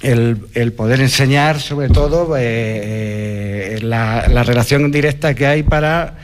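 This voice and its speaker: A man's voice: mid-range voice, takes it slowly. A middle-aged man from Toledo with a Spanish accent from Spain, a livestock farmer answering conversationally as an interview guest. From Toledo, La Mancha, Spain.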